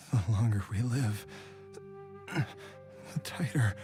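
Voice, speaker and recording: Weary devoted dad, low pitch, slow halting lines, emotional, video game drama. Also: male voice, dark tone. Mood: wistful, fading. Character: weary, tender, self-sacrificing father of a family. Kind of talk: English video game voice acting.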